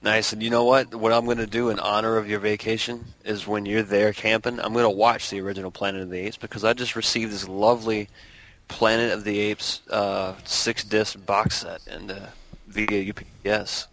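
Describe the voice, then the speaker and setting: A man's voice: fairly low pitch, level tone, moderate pace, a bit bright, low-quality recording. A man co-hosting a podcast, chatting casually and wisecracking. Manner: laughs often, wisecracking. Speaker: podcast co-host. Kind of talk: casual chat.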